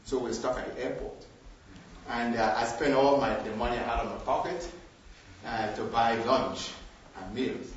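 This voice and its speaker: An older man from Nigeria with a Nigerian accent, telling a personal story to a group in an unhurried way with small hesitations. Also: medium pitch, slight background noise. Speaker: group participant sharing a story. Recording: echoey, far from the mic, dull.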